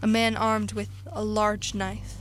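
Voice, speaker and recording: A woman playing a weary, haunted professor who survived an invasion, speaking solemnly and deliberately in a radio drama. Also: medium pitch, monotone, faint music behind, lightly acted. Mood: watchful, somber. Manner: solemn, literary, deliberate narration. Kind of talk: dramatic radio play monologue and dialogue.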